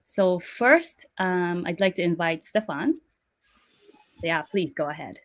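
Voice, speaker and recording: A woman's voice: lowish voice, lively intonation, a little slow. A woman working in HR who moderates an online panel, introducing speakers with a friendly, filler-heavy manner.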